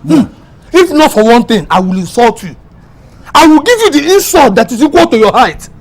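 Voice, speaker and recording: A man's voice: very high voice, sing-song, normal speed, darkish tone, exaggerated voice. An actor playing a touchy, scornful man bickering in a comedic drama, with a Nigerian accent. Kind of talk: comedic film drama acting.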